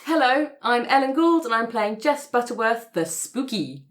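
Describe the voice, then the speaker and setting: A woman taking part in a roleplay podcast, pitching story ideas in casual conversation. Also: animated, normal speed.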